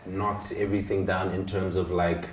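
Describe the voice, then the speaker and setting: A man hosting a podcast interview, asking relaxed, chatty questions with fillers. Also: deep voice, normal speed. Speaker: podcast host. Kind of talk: casual interview. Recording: echoey room with faint noise.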